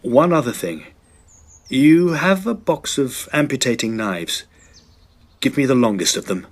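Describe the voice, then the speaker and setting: A man playing a determined, agitated young gentleman in a Victorian horror drama, speaking earnestly and emphatically in formal phrases. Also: medium pitch, lively intonation, unhurried. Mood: brisk, commanding.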